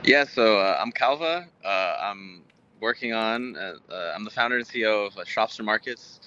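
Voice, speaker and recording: Bright-voiced American man, halting talker. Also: on the low side, expressive, clean tone, dull audio. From Houston, Texas, United States. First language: Spanish. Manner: halting, rambling, frequent stammered repeats. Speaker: guest, tech startup founder. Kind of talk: conversational interview answers.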